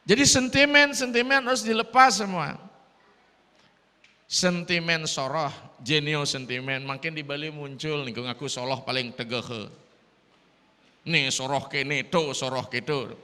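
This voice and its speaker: High, bright Sulawesi-accented priest preaching. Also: male voice, unhurried, clean tone. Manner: lively preaching mixed with English phrases. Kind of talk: dharma talk sermon. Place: large hall at a temple gathering. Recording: long reverb of a hall.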